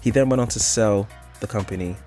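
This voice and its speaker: A man's voice: on the low side, brisk, a little mellow, soft background music. Upbeat male podcast host. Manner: upbeat, friendly, eager. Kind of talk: scripted podcast intro and interview.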